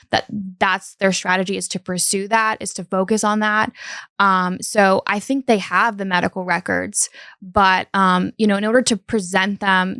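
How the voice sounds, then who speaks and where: Gravelly Appalachian woman, true-crime chat. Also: mid-range voice, dry recording, clean studio sound. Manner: relaxed, with many um fillers. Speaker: podcast co-host. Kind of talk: casual true-crime discussion.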